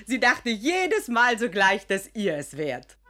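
An actress playing a chatty young maid who tells tales in a lively way. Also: female voice, mid-range voice, slow pace, full character voice. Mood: gossiping.

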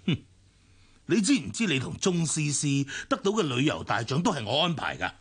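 A male actor playing a cynical, gloating, ruthless villain in a radio drama, with a husky, gravelly voice and a Hong Kong Cantonese accent. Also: a little high, sing-song, a little fast. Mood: sneering, gloating. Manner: sneering, taunting.

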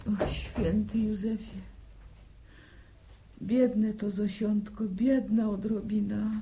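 Ailing older countrywoman, anxious and pious, soft dark tone, slow delivery, radio play. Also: medium pitch, steady tone, poor audio. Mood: lamenting. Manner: lamenting, pious sighing, weary. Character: ailing, anxious village mother, bedridden and fretful. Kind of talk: radio drama dialogue.

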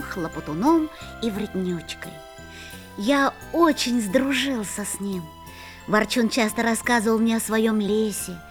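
A woman voicing a young boy who earnestly narrates a storybook audio play. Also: on the high side. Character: young boy narrating, friend of a forest spirit. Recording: faint music behind.

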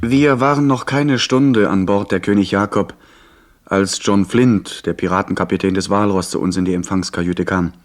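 Man as proud young storyteller, fairly low pitch, expressive, literary, radio drama. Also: normal speed, close to an everyday voice. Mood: earnest narrating. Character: proud, defiant young man, narrating his sea adventure. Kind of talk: radio play narration and acting.

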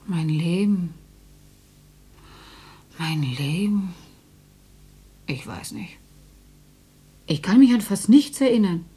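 An actress playing a meek, resigned housewife and mother in a radio play, speaking plainly and a little plaintively, slightly husky. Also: on the low side, expressive, takes it slowly, long pauses, dark tone, full character voice. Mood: vague, resigned. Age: 30s. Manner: plain, vague, mildly plaintive. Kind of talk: German radio play acting.

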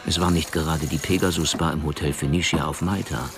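A man's voice: extremely low pitch, steady tone, brisk. A man narrating a radio crime story from a script as a detached storyteller.

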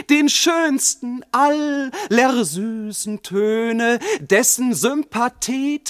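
A performer playing a languishing, solemn reciter of a florid love ode in a radio play, with drawn-out, rapturous declamation. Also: medium pitch, no room sound.

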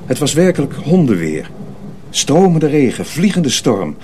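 A man narrating a radio play as a measured, expressive storyteller reading from a script. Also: medium pitch, a little hiss or hum.

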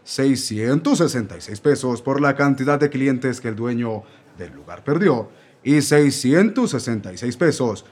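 A pompous defense lawyer, played by a man with a Mexican Spanish accent and a mid-pitched voice. He states his demands loudly and formally in a comic radio sketch. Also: animated, a little slow, slightly rough. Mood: demanding.

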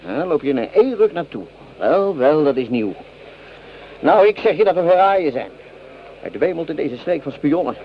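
Bitter, grumbling soldier, swinging wildly in pitch. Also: male voice, big pitch swings, moderate pace, a little hiss or hum. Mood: bitter scorn. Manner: coarse, sneering complaints. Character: bitter grumbling male French common soldier, hungry and mocking. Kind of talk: Dutch radio drama acting. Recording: dull lo-fi radio play recording.

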